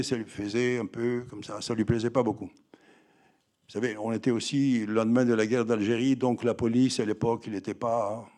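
An older man looks back on his early career in a relaxed, mellow voice. He talks haltingly, with many fillers and restarts. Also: mid-range voice. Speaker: film director interviewed. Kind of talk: reminiscing interview answers.

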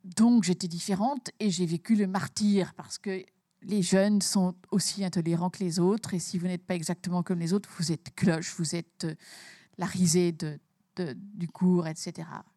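A woman's voice: lowish voice, steady tone, moderate pace, dark tone, no room sound, clean studio sound. A woman author from Belgium, talking emphatically about her book in an interview and repeating words as she starts her sentences.

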